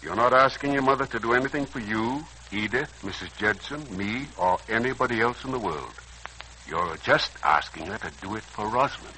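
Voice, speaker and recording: An actor playing a kindly older uncle in a 1940s radio serial, coaxing and persuasive in a theatrical, earnest way, with a raspy voice. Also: male voice, on the low side, lively intonation, moderate pace, sharp tone, slight background noise, rough recording. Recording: old 1940s radio recording.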